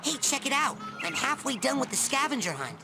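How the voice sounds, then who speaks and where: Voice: sits high, very animated, fairly quick. A performer playing an eager, plucky young boy psychic cadet in a cartoon video game. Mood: eager, proud. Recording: game audio with loud music behind.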